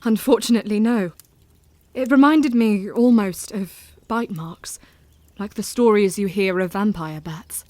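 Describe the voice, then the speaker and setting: A woman's voice: medium pitch, darkish tone, no echo, lightly acted. Composed, resolute Victorian wife, acted by a woman, dry sound. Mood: uneasy. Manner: formal, earnest, deliberate. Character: composed, resolute Victorian wife, frank and troubled, Mina Harker. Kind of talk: audio drama acting.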